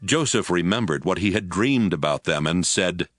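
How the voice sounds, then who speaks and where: Low-pitched male narrator over music. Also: animated, moderate pace. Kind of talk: scripted dramatic Bible narration.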